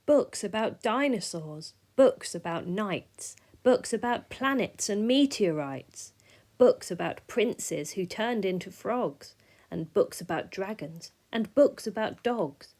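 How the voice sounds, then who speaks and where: Unhurried woman reading a children's story. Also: medium pitch, expressive, a little mellow. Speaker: storybook reader. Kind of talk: expressive rhyming children's story narration.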